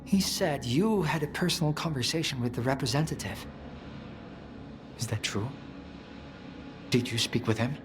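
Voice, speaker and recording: An actor playing an earnest, measured male scientist in a video game cutscene, deliberate and sometimes dropping into hushed, breathy phrases. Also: medium pitch, music in the background, lightly acted. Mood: searching.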